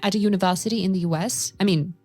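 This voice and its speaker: Woman hosting a casual english chat, mid pitched voice, music underneath. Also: racing, a little mellow. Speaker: podcast host, Russian teacher.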